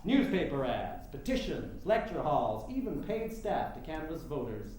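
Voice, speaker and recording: An actor plays a grandiose, moneyed political showman in a stage drama. His oratory swings widely in pitch and pushes over others. The sound is very echoey, with faint noise behind it. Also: very animated, unhurried, a little mellow. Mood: boastful, expansive. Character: rich, pompous male political showman wooing suffragists. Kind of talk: staged play reading.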